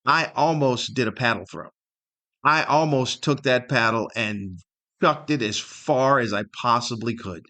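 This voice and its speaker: A man's voice: medium pitch, measured pace, sharp tone. A middle-aged man hosting a casual solo podcast, telling stories in a rambling, wry and self-deprecating way. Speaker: podcast host.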